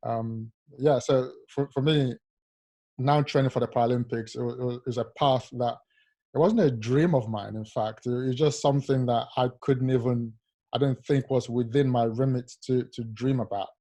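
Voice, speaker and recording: A young man from Nigeria, a rower, telling his life story in an interview in a soft, halting way with many fillers. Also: mid-range voice, steady tone. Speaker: Paralympic rower, guest. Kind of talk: casual interview, personal story.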